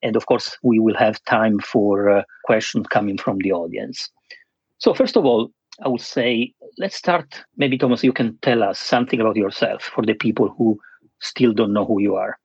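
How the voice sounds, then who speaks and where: A man hosting a webinar interview, speaking in a structured way with frequent pauses. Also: on the low side, gravelly, a little mellow, husky, dry recording, muffled recording. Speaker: host.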